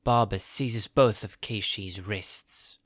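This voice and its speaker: A man's voice: lowish voice, steady tone, slow, fairly bright tone, no room sound. A man giving scripted narration as the storyteller of a fantasy audio drama.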